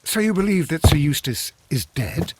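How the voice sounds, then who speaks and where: Victorian gentleman sidekick, loyal, polite, inquiring, animated intonation, rain noise behind, video game. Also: fairly high pitch, normal speed. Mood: curious. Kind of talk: scripted video game acting.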